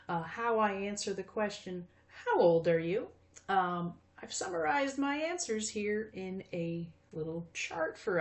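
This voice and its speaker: A woman's voice: mid-range voice, darkish tone. A woman in her fifties teaching math in a recorded video lesson, chatty and friendly, with frequent fillers. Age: 50s.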